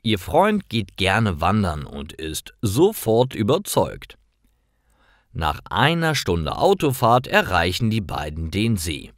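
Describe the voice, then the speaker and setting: A man narrating simple scripted stories for language learners in a careful, expressive way. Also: fairly low pitch, takes it slowly, no echo, studio quality. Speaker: storyteller for German learners.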